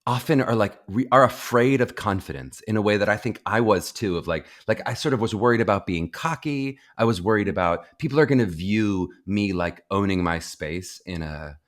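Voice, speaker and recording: A young man with a low voice, hosting a podcast interview, asking thoughtful, rambling questions with many restarts. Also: moderate pace, few pauses. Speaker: podcast host. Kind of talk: conversational interview.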